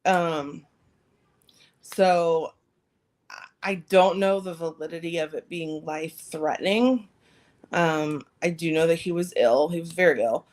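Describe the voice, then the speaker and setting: A woman co-hosting a podcast in a casual chat, her voice slightly raspy after recent surgery. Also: low-pitched, unhurried, long pauses, a little mellow. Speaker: podcast co-host.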